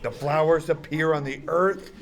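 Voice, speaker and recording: An actor playing an earnest wedding guest giving a measured recitation at a sitcom table read. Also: male voice, fairly high pitch, steady tone, crowd noise, natural voice. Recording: live room with audience noise.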